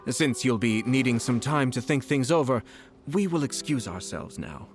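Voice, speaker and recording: An actor voicing a composed, courteous nobleman official in a video game, formal, measured and subtly sly. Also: medium pitch, animated, soft background music, natural voice. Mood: sincere. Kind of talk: video game voice acting, scripted dialogue.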